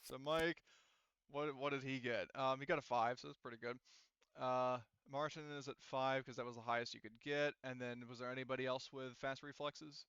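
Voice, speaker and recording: Bright, clear-voiced male game master. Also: medium pitch, fairly even intonation, no room sound, lo-fi sound. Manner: halting, thinking aloud while checking rules. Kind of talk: running a tabletop roleplaying game, casual improvised explanation.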